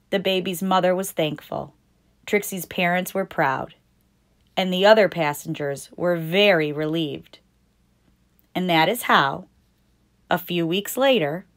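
Woman slowly reading a children's storybook. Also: lowish voice. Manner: deliberate, expressive storytelling for young children. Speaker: children's storytime host and reader. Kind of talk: scripted picture-book narration read aloud.

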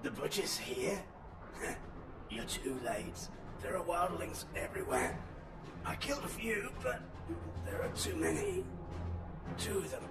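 Slow, gasping, dying soldier. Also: male voice, high voice, wildly swooping pitch, full character voice. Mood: pained, desperate. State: weak, breathless, in pain. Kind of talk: video game cutscene acting. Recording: echoey, distant, under loud music.

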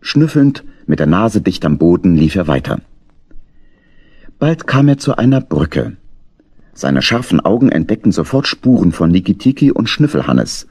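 A man with a low, husky voice narrates a children's audio play. His intonation moves widely, and the sound is dry and close. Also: sing-song, normal speed, darkish tone, no room sound. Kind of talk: scripted children's story narration.

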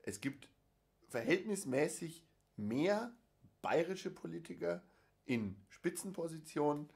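A man's voice: fairly high pitch, lively intonation, slow pace, vocal fry, darkish tone. A man co-hosting a casual chat podcast, rambling with dry jokes, many fillers and restarts. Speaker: podcast co-host.

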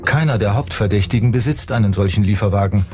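A man's voice: fairly low pitch, fast talker. Male true-crime narrator over music. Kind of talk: scripted true-crime documentary narration in German.

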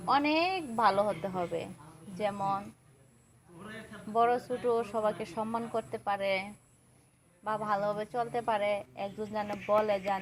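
A young woman from Kishoreganj with a Bangladeshi accent, speaking colloquially as a guest in a personal interview. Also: mid-range voice. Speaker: interview guest seeking a husband.

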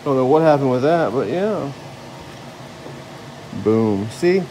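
A man's voice: medium pitch, animated, slow pace, mellow, a little hiss or hum, poor audio. A tired man in his thirties joking his way through a woodworking how-to in his home garage. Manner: joking, self-mocking, talks while working. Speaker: vlogger building a squirrel table. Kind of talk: casual how-to woodworking vlog. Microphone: handheld phone camera.

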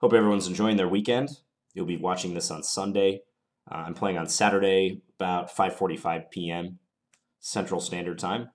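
A man with a very low voice and a slight cold talks through his chess moves at his computer. He sounds calm and analytical, weighing each option in a measured way. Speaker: chess commentator playing online. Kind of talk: thinking aloud during a chess game.

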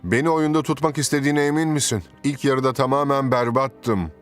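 Man acting male cartoon footballer, team captain, middle-pitched, downhearted, faint music behind. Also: fairly quick, lightly acted. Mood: dejected.

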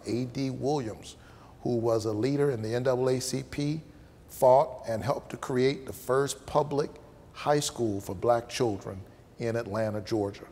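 A man's voice: mid-range voice, darkish tone, in a large hall. A man, welcoming worshippers as pastor in a preacher's cadence, stretching key phrases. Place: indoors, church hall with blurred backdrop. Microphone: lapel.

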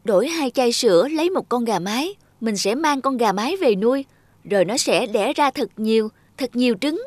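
A woman voicing a lazy, daydreaming young milk seller with a dreamy, excitable manner in a children's cartoon. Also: fairly high pitch, normal speed, dry recording. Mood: dreamy, content.

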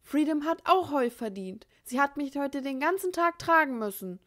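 A woman voicing a nervous young male cowboy in a storybook reading, higher and stammering. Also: animated, moderate pace, fairly bright tone. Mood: defiant.

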